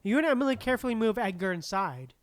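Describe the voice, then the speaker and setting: A woman's voice: fairly high pitch, sing-song, fast. A woman streaming a game with casual, musing, sweary commentary, reading game text aloud.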